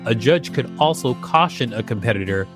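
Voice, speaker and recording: Male narrator, medium pitch, scripted informational read with the odd casual aside, prominent guitar bed. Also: expressive, a little fast. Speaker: host reading an article aloud. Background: guitar music.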